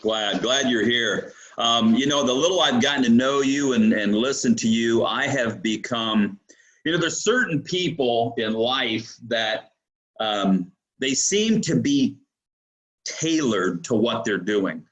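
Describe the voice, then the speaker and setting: A man's voice: medium pitch, slow, fairly bright tone, highs cut off. Enthusiastic rambling male host. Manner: enthusiastic, rambling with fillers and restarts. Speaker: podcast host. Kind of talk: interview hosting.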